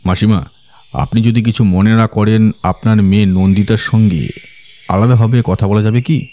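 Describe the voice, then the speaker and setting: An actor playing a composed, courteous male detective, asking measured, probing questions in an audio drama. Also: lowish voice, steady tone, warm tone, close to an everyday voice. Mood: polite. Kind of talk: scripted audio drama acting.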